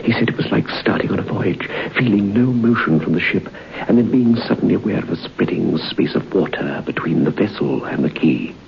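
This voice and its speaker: A male narrator telling a suspense story in a measured, scripted delivery. His voice is extremely deep and gravelly. It comes through a muffled vintage radio recording with faint hiss. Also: expressive.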